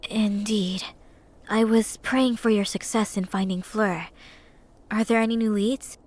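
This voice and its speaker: An actress playing a determined, devoted young nun on the run in a dramatic visual novel, earnest and resolute, with music underneath. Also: female voice, medium pitch, fairly even intonation, unhurried, darkish tone, lightly acted. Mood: guarded, cool.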